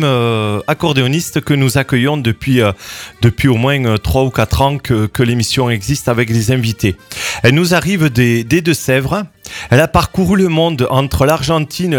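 A man hosting a radio show, introducing a guest with frequent pauses, fillers and restarts. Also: medium pitch. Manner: hesitant, many fillers and restarts. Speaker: radio host. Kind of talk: casual radio interview.

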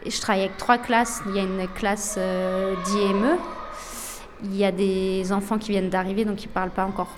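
Young woman, mid voice, halting interview answers, lots of "euh"s. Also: mid-range voice, level tone, background noise. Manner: hesitant, many fillers and restarts. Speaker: illustrator and author of books. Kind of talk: radio interview, spontaneous answers.

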